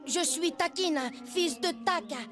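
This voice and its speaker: A woman's voice: very high-pitched, unhurried. A woman voicing a proud, stubborn young jungle boy in a dubbed cartoon, earnest and defensive, over music. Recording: loud music under the voice.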